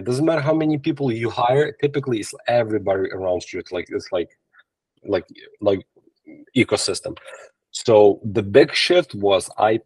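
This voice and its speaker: A man's voice: on the low side, husky, dry recording, low-quality recording. Ukrainian-accented man on a video call. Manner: animated storytelling in simple non-native English, frequent restarts. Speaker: agency owner, podcast guest. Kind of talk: casual interview chat. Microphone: video call from a laptop or phone camera.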